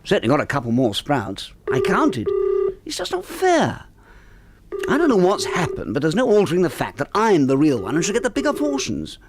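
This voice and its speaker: Bewildered English husband, wildly swooping voice. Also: male voice, fairly high pitch, wildly swooping pitch, brisk, heavy background noise. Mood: sulky, aggrieved. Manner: spluttering, aggrieved. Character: bewildered, petulant English husband, indignant and childish. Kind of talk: radio drama acting.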